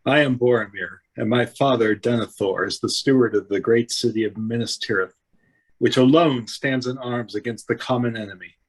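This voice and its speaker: A man's voice: medium pitch, normal speed, slightly rough, close to an everyday voice, poor audio. A man playing a proud, ardent warrior who declaims grandly in a fantasy table read.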